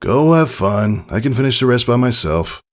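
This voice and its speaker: A man's voice: medium pitch, animated, normal speed, voice pushed up, lightly acted. A man voicing a kindly but firm pony father in a storybook reading, with a parental manner. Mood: cheerful, encouraging.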